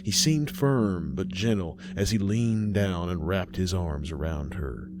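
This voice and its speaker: A man's voice: deep voice, unhurried, background noise. A man narrating a scripted horror tale in a dramatic, folksy storytelling manner.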